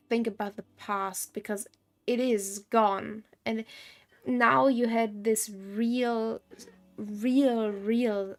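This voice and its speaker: A woman game streamer giving casual live commentary, musing and thinking aloud. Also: medium pitch.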